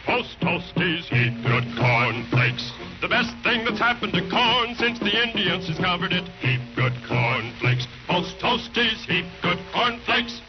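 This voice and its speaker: A man's voice: high voice, sing-song, measured pace, pauses often. A man performing an advertising jingle on old radio. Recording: muffled old radio, loud music.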